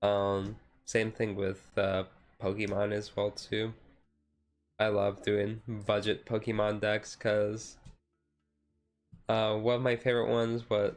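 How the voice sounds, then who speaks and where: A man streamer chatting casually and rambling in a self-deprecating way as he talks to his live viewers. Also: lowish voice. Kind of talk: casual live-stream chat while sorting cards.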